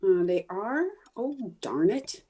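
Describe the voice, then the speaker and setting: A woman's voice: medium pitch, animated, slow, dark tone, breathy edge. A woman playing a scatterbrained, stubborn, cheerful housewife in an old-time radio comedy, with theatrical, emphatic delivery. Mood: breezy, sheepish.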